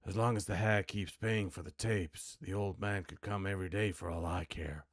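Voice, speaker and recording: A man voicing a brooding, world-weary hard-boiled detective from a noir video game, with a grim, deliberate delivery. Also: deep voice, steady tone, no echo, clean studio sound.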